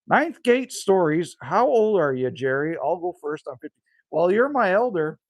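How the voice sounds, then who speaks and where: A man's voice: high voice, big pitch swings, moderate pace, no room sound. A man, chatting casually and rambling with viewers as a livestream host outdoors by a rural cabin. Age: 50s. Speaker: livestream host reading chat.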